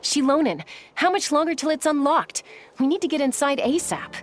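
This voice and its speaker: A woman's voice: sits high, expressive, normal speed, faint music behind. A woman playing a frank, supportive companion in a video game, with a direct way of talking. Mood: urgent.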